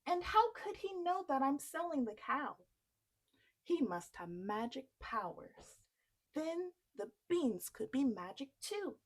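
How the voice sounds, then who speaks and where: A woman's voice: high-pitched, sing-song, takes it slowly, voice pushed up, exaggerated voice, low-quality recording. A woman voicing a naive, eager young boy in a children's storybook. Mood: puzzled, wondering. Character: Jack, a naive, eager, kind-hearted boy.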